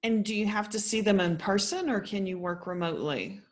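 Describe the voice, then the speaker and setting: A woman's voice: mid-range voice, fairly quick. A woman hosting an interview, asking a guest about her work with friendly enthusiasm. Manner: friendly, eager questions. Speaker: interview host, dietitian.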